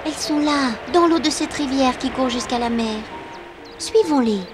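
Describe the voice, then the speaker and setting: A woman voicing a kindly guiding female spirit in a children's cartoon, gently explaining things. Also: high-pitched, normal speed, over music, natural voice. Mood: gentle.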